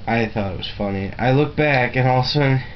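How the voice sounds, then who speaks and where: A man chatting casually to camera as an amateur video blogger, rambling and halting, with a self-deprecating manner. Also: mid-range voice. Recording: dull lo-fi webcam-style sound, faint noise.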